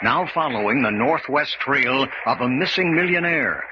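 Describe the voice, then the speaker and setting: A man's voice: mid-range voice, lively intonation, moderate pace. A man working as announcer, narrating a radio mystery serial in a dramatic scripted style. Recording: muffled, noisy old radio recording.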